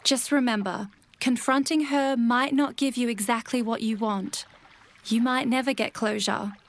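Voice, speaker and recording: A woman playing a caring, supportive bird partner in an audio drama, with a gentle, reassuring and natural delivery. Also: fairly high pitch, steady tone, measured pace. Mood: tender.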